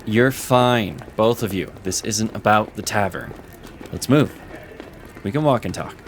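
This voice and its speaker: An actor playing a stern, protective officer in an audio fantasy drama, speaking in a curt, controlled, authoritative way. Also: male voice, lowish voice, measured pace, noisy background, natural voice. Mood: brisk, commanding.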